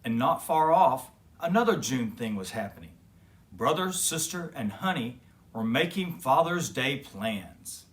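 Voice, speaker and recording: A man hosting a children's story show, talking directly to young kids in an enthusiastic, gentle way. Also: mid-range voice, very animated, unhurried.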